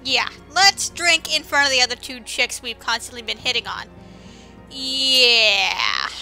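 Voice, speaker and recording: Bright, high-pitched woman doing sarcastic game commentary. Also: a little slow, faint music behind, rough recording. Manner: sarcastic, exclamatory; informal, swearing. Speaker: gameplay commentator.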